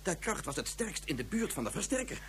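An actor playing an agitated physicist in a Dutch radio drama, speaking in an earnest, formal, explanatory way. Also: male voice, sits high, very animated, brisk, a bit bright, a little hiss or hum. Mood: distraught. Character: agitated male physicist defending his invention.

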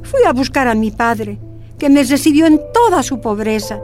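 A woman's voice: high-pitched, animated, normal speed, a little mellow, faint music behind. Woman narrating her memories, sorrowful. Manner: reflective, sorrowful recollection. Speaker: narrator recalling her own story. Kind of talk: first-person scripted narration.

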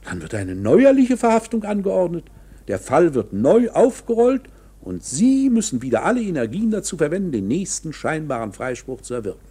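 An actor in a German radio play playing a knowing, coolly matter-of-fact court insider who explains things in a measured, insinuating, officious way. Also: male voice, fairly high pitch, huge pitch swings, warm tone, breathy edge, full character voice. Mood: matter-of-fact, resigned.

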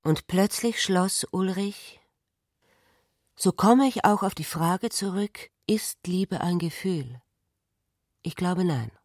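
An actor playing a quiet narrator of philosophical notes in a literary radio play, hushed and deliberate. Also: mid-range voice, dry recording, studio quality. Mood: hushed, contemplative.

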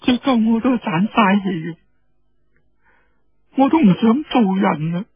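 A man voicing a distraught, lovelorn young woman in a Cantonese storytelling, plaintive and drawn-out, with a tearful edge. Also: sits high, lively intonation, slightly rough, voice pushed up, exaggerated voice. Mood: despairing, sorrowful. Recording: dull lo-fi radio sound with room echo.

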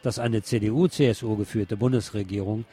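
A man's voice: on the low side, steady tone, a little fast, dark tone, slightly rough. A man presenting the news as scripted narration.

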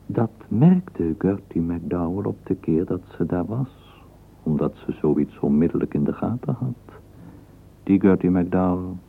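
An actor playing a measured, wry storyteller, narrating a literary radio drama in a husky voice. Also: male voice, low voice, animated, slight background noise, heavily acted. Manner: deliberate, measured phrasing. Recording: tinny, narrow-band old radio recording.

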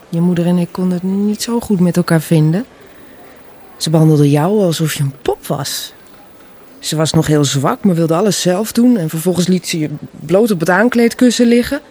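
Radio drama mother, mid-fifties: husky, wistful, quietly stubborn, conversational. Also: lowish voice. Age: middle-aged. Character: wistful, gently stubborn mother of fifty-five. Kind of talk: Dutch radio play dialogue, naturalistic.

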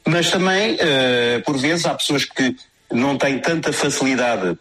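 A middle-aged man presenting on radio, reflecting in a halting, hesitant way during a panel chat. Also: mid-range voice, animated, fairly bright tone. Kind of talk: casual panel conversation.

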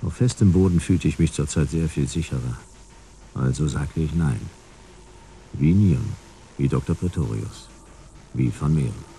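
Laconic detective narrator in sci-fi radio drama: extremely dark, gravelly, dry and terse. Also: male voice, very deep voice, a little slow, long pauses, slight background noise, full character voice. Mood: dry, detached. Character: laconic, world-weary male detective narrating his case. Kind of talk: scripted first-person noir narration in radio play.

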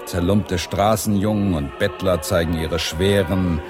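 An actor in a German radio drama playing a sardonic, earthy English delegate with a droll, theatrical way of talking. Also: male voice, low voice, fairly even intonation, measured pace, over music. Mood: disgusted. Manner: droll, theatrical, relishing long words.

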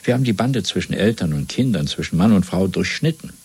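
An actor playing a coldly domineering party interrogator in a German radio play, lecturing in a measured, zealous way with a gravelly, husky voice. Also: male voice, low voice, animated, heavily acted. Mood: fanatical fervour. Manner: measured, lecturing, swelling from hushed to fervent.

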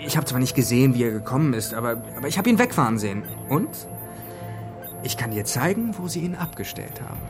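An actor playing a wry, grumbling and excitable young techie in a naturalistic German radio play, speaking in halting slang. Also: male voice, medium pitch, loud music behind the voice, lightly acted. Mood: excited, sarcastic.

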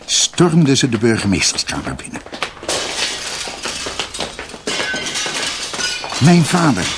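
An actor playing a grave doctor who recounts his family's past in a halting, reflective radio drama narration. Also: mid-range voice, background noise. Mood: intent storytelling.

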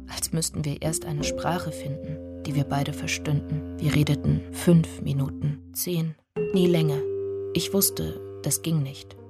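A woman narrating a literary radio drama in the first person, in measured, deliberate phrases. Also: sits low. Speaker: actor narrating.

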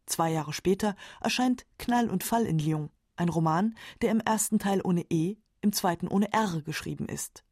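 Low-voiced woman narrating, dry studio. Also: a little mellow, no room sound. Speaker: documentary narrator. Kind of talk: scripted narration.

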